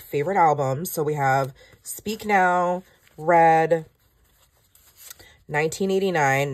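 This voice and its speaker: A woman with a low, deep-pitched speaking voice that sounds a little scratchy. She chats along in a rambling, self-deprecating way while planning at her desk at home. The sound is close and plain, from a camera above her desk. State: scratchy voice from illness. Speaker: planner vlogger. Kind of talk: casual chat while planning. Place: home craft room, desk. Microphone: overhead camera, hands only in frame.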